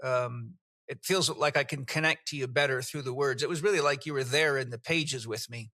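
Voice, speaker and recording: A man's voice: mid-range voice, fairly quick, sharp tone, no echo. A man hosting a podcast interview, enthusiastic and effusive as he introduces and questions his guest. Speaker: podcast host.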